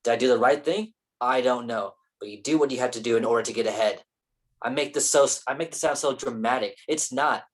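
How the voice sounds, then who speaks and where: A low, husky voice for a defensive and ambitious male screen actor , played by a woman. The delivery is casual and sweary, in the manner of a staged play reading. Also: a little fast. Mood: casually defiant. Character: defensive, ambitious young male film actor named Nick.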